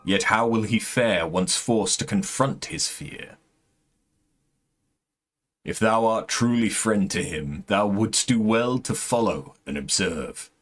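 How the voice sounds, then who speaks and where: A man voicing an ancient, solemn dragon in a game, speaking slowly in archaic phrasing. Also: fairly low pitch, fairly bright tone.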